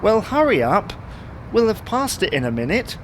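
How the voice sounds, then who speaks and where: An actor playing a grumbling, long-suffering British husband who can't abide gadgets, in a domestic comedy audio drama. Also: male voice, sits high, sing-song, normal speed, a bit bright, a little hiss or hum. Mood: exasperated. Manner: grumbling, placating.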